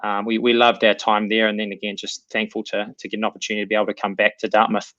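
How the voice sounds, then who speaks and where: A relaxed, thoughtful man with a New Zealand accent and a lowish voice, answering questions in a friendly way. The sound is dry and dull, like video call audio. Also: quick pace, dry recording. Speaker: assistant coach. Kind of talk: remote interview.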